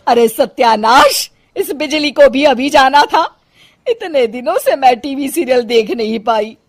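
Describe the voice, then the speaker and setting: A woman's voice: high voice, expressive, measured pace, dry recording, clean studio sound, soft background music. A woman as a fussy city mother-in-law. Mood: annoyed. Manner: complaining, theatrical sighs.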